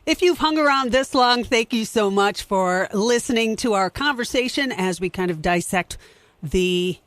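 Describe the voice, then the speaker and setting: A woman's voice: a little high, lively intonation, moderate pace, no room sound. A woman host addressing listeners directly in a podcast sign-off. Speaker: show host signing off.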